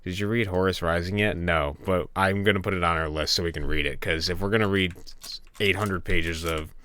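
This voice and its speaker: A man's voice: deep voice, fairly even intonation, a little fast, bright, forceful tone, a little hiss or hum. A young man from Michigan, a game developer chatting casually with viewers on a late-night stream, laid-back and chatty. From Michigan, USA. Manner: laid-back, chatty, reads chat aloud. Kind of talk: casual live-stream chat. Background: keyboard typing and clicking.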